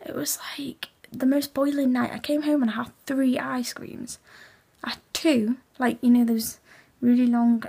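Teen girl, hoarse and gravelly, hushed late-night chat, fairly high voice, laptop webcam. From England. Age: teens. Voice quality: raspy. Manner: hushed, half-whispered, chatty. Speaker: vlogger. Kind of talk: casual late-night vlog. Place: bedroom at night, indoors.

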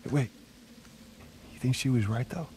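An actor playing a restless, sardonic adventurer in a cinematic video game, speaking in a wry way. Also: male voice, mid-range voice, moderate pace, darkish tone, slight background noise, close to an everyday voice. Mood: wry, cautious. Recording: music bed behind dialogue.

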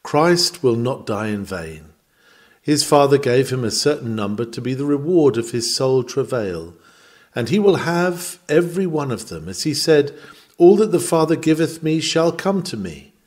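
A man reads an old sermon aloud with earnest conviction. His voice is mellow and relaxed in tone, of middling pitch, and moves in lively rises and falls. He leans deliberately on key words as he exhorts the listener. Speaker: reader of a historic sermon. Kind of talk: sermon reading, scripted and preacherly.

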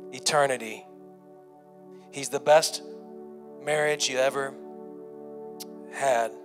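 An American male pastor in his thirties delivers a sermon in a somewhat high voice. He speaks in a folksy, earnest way with emphatic phrasing into a handheld mic, with soft keyboard music behind him. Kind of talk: sermon to an online congregation. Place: small indoor church stage room. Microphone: handheld microphone held close.

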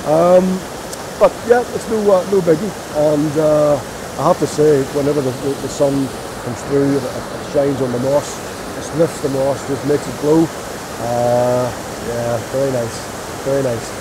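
A man in his fifties vlogging casually outdoors on a lapel mic, thinking aloud in a halting way. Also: medium pitch, fairly even intonation. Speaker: landscape photographer vlogging. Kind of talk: casual explanatory vlog narration. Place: outdoors by a rushing woodland stream. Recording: loud hissing waterfall noise. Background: loud rushing waterfall water.

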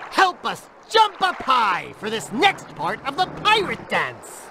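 A man's voice: very high voice, huge pitch swings, slow pace, gravelly, bright, forceful tone, slight background noise, exaggerated voice. An actor voicing a cheerful male pirate pig in a children's video game, calling out dance moves with hearty pirate talk. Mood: cheery.

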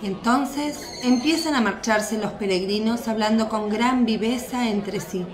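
A woman's voice: fairly high pitch, measured pace. A woman reading scripted narration for a radio drama. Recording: echoey, crowd murmur behind.

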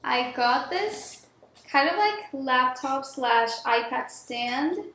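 A woman's voice: sits high, lively intonation, slow pace, pushed and bright. A young woman vlogger chatting casually and informally to viewers from a room at home, drawing out some words. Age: young adult. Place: echoey home office room, indoors. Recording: echoey room, clear background noise.

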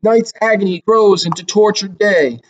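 A man's voice: high voice, normal speed, highs cut off, slight background noise, pitched above the performer's own voice, lightly acted. A man voicing a fearful, pleading chorus of elders in a Greek tragedy, declaiming in fervent incantation.

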